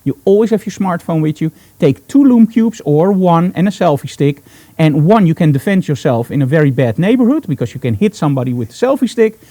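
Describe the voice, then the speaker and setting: A man's voice: on the high side, lively intonation, fairly quick, dark tone, dry recording. A man with a Dutch accent hosting an informal live broadcast, explaining gear in an enthusiastic, emphatic, chatty way. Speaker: host, photographer.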